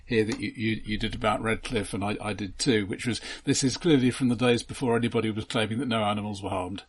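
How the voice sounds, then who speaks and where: A man co-hosting a casual film discussion in a measured, halting way. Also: on the low side, fairly even intonation, slightly rough. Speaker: film discussion podcast co-host.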